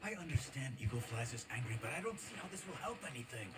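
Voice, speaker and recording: An actor playing a gentle, worried, reasonable peacemaker in a video game, measured but pleading. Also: male voice, medium pitch, sing-song, close to an everyday voice. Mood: concerned. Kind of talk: video game dramatic acting.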